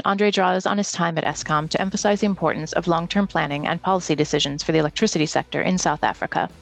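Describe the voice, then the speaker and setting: A woman host reads a scripted podcast opening at a quick clip, with a slightly low voice. Her delivery is smooth and practised, moving without a stumble. The recording is dry and close to the mic. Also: no room sound. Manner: brisk, smooth read from a script.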